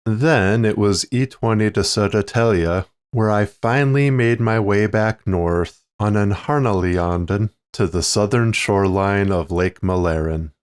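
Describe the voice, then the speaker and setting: A man's voice: fairly low pitch, measured pace, hardly any pauses, voice pushed down, natural voice. A man reading first-person fiction as an American man who gives a tediously detailed, methodical account of his travels. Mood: methodical, plodding.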